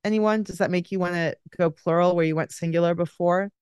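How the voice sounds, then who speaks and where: A woman's voice: fairly low pitch, steady tone, brisk, a little mellow, smooth, no echo, clean studio sound. A middle-aged woman on a video call, patiently explaining a grammar point step by step as a guest expert.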